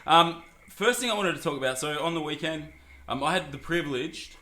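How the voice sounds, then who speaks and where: Husky-voiced man hosting a casual podcast. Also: fairly high pitch, brisk, bright, forceful tone, a little hiss or hum. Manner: joking, rambling with many fillers. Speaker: podcast host. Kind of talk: casual chat.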